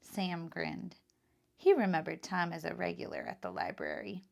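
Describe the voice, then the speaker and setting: A woman reading a children's picture book aloud as a teacher, in an expressive, instructive style. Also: fairly low pitch, moderate pace, vocal fry.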